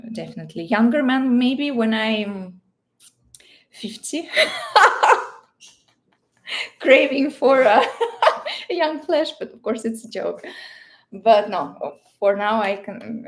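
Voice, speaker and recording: A woman in her thirties from Russia hosts a casual livestream in accented English. Her voice sits fairly high. She is playful and giggly, pausing to search for words as she answers questions. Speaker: livestream host.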